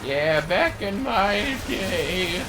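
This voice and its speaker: Man putting on a high, indignant old-timer. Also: big pitch swings, drawn-out words, noisy background, full character voice. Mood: nostalgic, boastful. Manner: exaggerated, indignant. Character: grumbling nostalgic old-timer reminiscing about tougher days.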